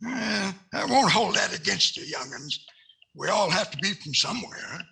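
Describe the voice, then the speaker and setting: A grizzled old prospector, high and piercingly sharp, with a heavy rasp. He stammers folksily in old radio comedy fashion. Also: animated, moderate pace, exaggerated voice. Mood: folksy, hesitant. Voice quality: raspy, creaky. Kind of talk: 1940s radio comedy acting.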